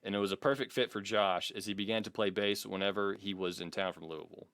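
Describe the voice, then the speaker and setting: A man's voice: fairly low pitch, steady tone, quick pace, fairly bright tone, no echo, studio quality. An American man co-hosting a comedy podcast, reading a biography with mock grandeur, swearing and slipping into casual banter. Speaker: podcast co-host.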